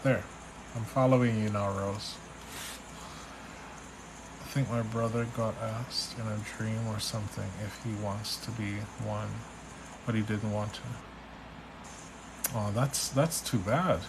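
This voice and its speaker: A man from Trinidad with a Trinidadian accent, a spiritual reader on a live stream, relaxed and chatty as he reads out viewers' questions. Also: on the low side, unhurried, pauses often, background noise. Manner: relaxed, chatty, reads names and questions aloud, restarts often. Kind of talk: live stream reading, answering chat questions.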